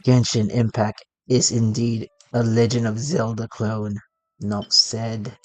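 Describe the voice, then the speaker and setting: A gaming content creator giving casual let's play commentary in a halting, rambling way. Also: medium pitch, little pitch movement.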